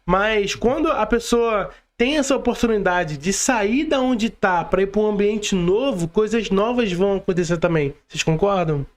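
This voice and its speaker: High-pitched Brazilian man hosting a podcast. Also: moderate pace, hardly any pauses. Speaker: podcast host. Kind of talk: casual podcast interview.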